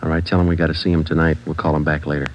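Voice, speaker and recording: A man's voice: rumbling low, level tone, very fast, slight background noise. An actor playing a clipped, matter-of-fact male police sergeant who also narrates. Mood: terse. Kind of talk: 1940s radio drama narration. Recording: old radio broadcast with music.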